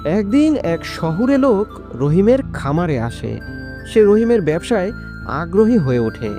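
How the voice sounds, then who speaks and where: A man's voice: high-pitched, sing-song, unhurried, music in the background. A man giving scripted narration for a moral story, as the narrator of a cartoon.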